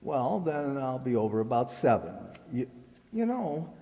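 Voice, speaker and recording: A man acts out a bashful, earnest gentleman suitor in a radio comedy, polite and awkwardly halting. His mellow voice jumps about in pitch, in a long hall reverb. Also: sing-song. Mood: polite, eager. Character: shy, earnest, oblivious male suitor fond of mice. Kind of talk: comedic old-time radio acting.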